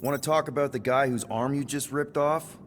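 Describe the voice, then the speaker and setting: Actor as a casual, rambling space crew leader. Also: male voice, mid-range voice, fairly even intonation, fairly quick, slight background noise, close to an everyday voice. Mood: wry, probing. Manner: casual, rambling, boyish slang. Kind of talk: video game voice acting.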